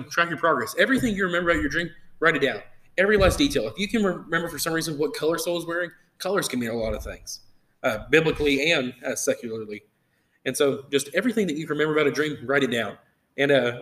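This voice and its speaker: 20 yr old guy talking fast about dreams and faith, eager, lots of uh's. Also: on the high side, a bit bright. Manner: eager, explanatory, many uh fillers. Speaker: podcast co-host.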